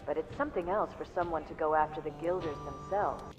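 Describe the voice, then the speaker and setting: A man's voice: on the high side, fast, close to an everyday voice. An actor playing a gossipy male bartender in a game, talking casually and confidingly. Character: chatty, gossipy male bartender in a rough underhive. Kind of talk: cutscene voice acting, casual barroom gossip. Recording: dull sound under clear music.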